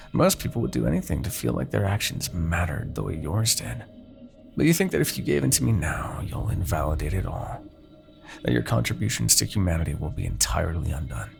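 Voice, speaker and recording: A haughty, seductive demon king acted by a man speaks in a deep voice thick with gravel and creak. His delivery is theatrical and widely varied in pitch, silky and condescending as he persuades. It is an intimate fantasy roleplay monologue. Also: heavily acted. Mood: mocking, insinuating.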